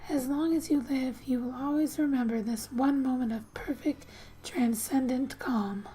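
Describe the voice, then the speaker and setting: A woman speaking softly and breathily, often near a whisper, reading a game's story aloud in a gentle, unhurried ASMR style with casual asides. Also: sits high, steady tone, soft background music. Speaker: ASMR gamer and host.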